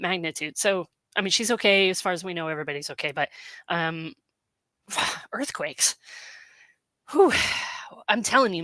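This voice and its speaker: A middle-aged woman hosting a casual livestream, a little hoarse from allergies, chatting with lots of fillers as she reads out viewer comments. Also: fairly low pitch, pushed and bright, no echo. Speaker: host. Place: home in Colorado.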